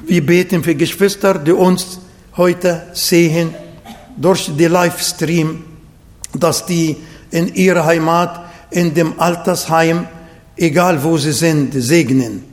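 A man with an Arabic accent preaching a sermon and praying, slow and emphatic, repeating phrases to his congregation. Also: fairly high pitch, steady tone, long reverb tail. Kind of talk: sermon with prayer. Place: church hall, livestreamed service.

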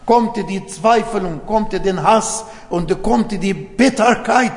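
Slow, high-voiced Arabic-speaking preacher in a hall. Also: male voice. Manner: non-native German with grammar slips, rhetorical questions. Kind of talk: sermon. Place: church hall. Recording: echoey hall with long reverb.

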